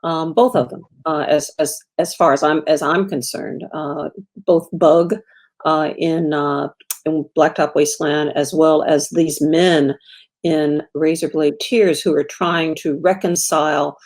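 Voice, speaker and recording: A woman's voice: low-pitched, fairly even intonation, unhurried, a little mellow. A woman moderating a book panel as a critic, asking questions hesitantly with many ums and restarts.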